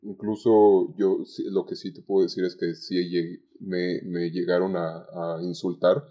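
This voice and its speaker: A young Mexican man talking conversationally as a podcast guest about his experiences, with halting phrasing and repeated words. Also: low voice, flat delivery, warm tone. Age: young adult. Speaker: podcast guest, call center agent. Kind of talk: conversational interview, recounting work anecdotes. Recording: dull, lo-fi sound.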